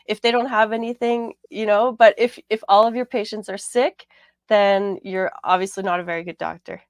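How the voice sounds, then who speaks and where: A woman with a raspy voice hosting a casual radio talk show, rambling a little with fillers and repeated words. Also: medium pitch, steady tone, normal speed, dry recording, studio quality.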